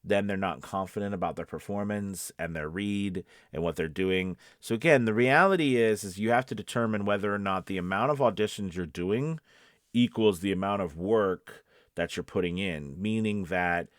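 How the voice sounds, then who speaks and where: A man's voice: fairly low pitch, moderate pace, no echo, studio quality. A man speaking as a voice-over coach, giving casual, conversational advice and repeating his points for emphasis. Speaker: voice-over coach and casting professional. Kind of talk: casual instructional advice to camera.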